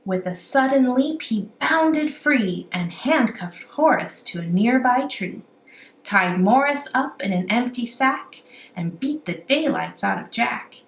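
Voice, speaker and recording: A woman reading a rhyming children's story aloud in an animated, amateur storytelling style. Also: on the high side, takes it slowly. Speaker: amateur children's book reader. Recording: roomy echo, faint background noise.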